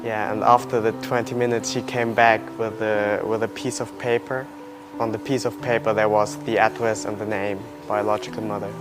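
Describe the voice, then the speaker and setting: A man in his early twenties from Germany, speaking non-native English with a German accent, telling his personal story in a halting, plain way. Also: fairly low pitch, little pitch movement. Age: early 20s. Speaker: interviewee, adoptee searching for birth family. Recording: loud music under the voice. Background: music.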